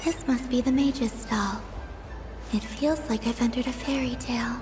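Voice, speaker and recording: A woman voicing a gentle, serene young goddess in a video game, soft and innocently curious. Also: on the high side, steady tone, moderate pace, over music, lightly acted. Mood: gentle wonder.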